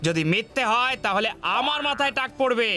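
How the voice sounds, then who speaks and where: A very high, sharp cartoon street hawker. He boasts in singsong sales patter with drawn-out calls, over faint music. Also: expressive, exaggerated voice. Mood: boastful, coaxing. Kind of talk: Bengali children's cartoon dub.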